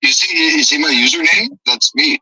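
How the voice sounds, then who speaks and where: Mock-offended man with a lively, bright voice. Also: a little high, moderate pace, breathy edge, no echo, rough recording. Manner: teasing, mock-offended, swears freely. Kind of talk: joking banter on a live stream.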